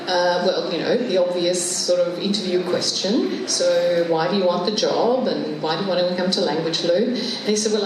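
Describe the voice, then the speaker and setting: A woman giving an enthusiastic introduction speech for a new chief executive, with frequent fillers. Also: mid-range voice. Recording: echoey room, background noise.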